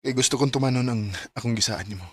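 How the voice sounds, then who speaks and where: An actor playing a devoted, gentle young suitor in a Bisaya radio drama, earnest and measured. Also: male voice, medium pitch, dry recording, close to an everyday voice. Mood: earnest, tender. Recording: faint music behind the dialogue.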